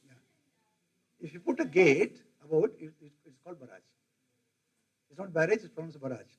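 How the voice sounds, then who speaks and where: A man's voice: on the high side, animated, normal speed, a little mellow, breathy edge. An older man with an Indian English accent giving a rambling lecture to teachers, often asking his audience questions. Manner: digressive, asks the audience questions. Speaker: professor. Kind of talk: lecture to faculty.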